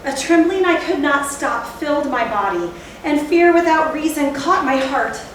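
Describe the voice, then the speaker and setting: A woman playing a haunted, fearful narrator in theatrical Gothic storytelling, with a deliberate, literary delivery. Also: high voice, animated. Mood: dread. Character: haunted, fearful narrator recalling a night of horror. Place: theatre stage, recorded from a distance. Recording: echoey hall, distant mic, faint noise.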